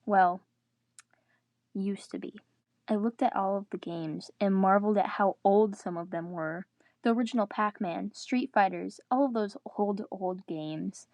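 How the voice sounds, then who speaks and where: A woman's voice: medium pitch, unhurried, a little mellow, dry recording. A woman reading a story aloud dramatically, with joking asides in her own voice. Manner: breaks character to groan and joke. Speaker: reader of fan fiction.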